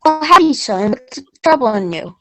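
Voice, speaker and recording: A woman's voice: a little high, sing-song, normal speed, soft background music, poor audio. A woman playing a folksy, bold frontier hunter in a readers theater piece for children, with a declamatory delivery. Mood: earnest, rallying.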